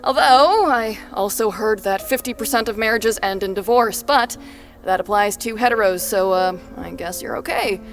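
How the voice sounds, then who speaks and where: An actress playing an awkward, flippant wedding officiant in an audio drama, rambling and deadpan with hesitant delivery. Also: female voice, mid-range voice, normal speed, close to an everyday voice. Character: awkward, flippant woman officiating a vow renewal. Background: prominent music.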